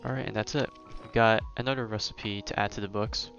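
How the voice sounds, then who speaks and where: Low-voiced young man streaming games. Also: steady tone, brisk, a bit bright. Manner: rambling, conversational, thinking aloud. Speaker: game livestreamer. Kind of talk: casual live gameplay commentary and chat. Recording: slightly dull mic over game music. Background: game music.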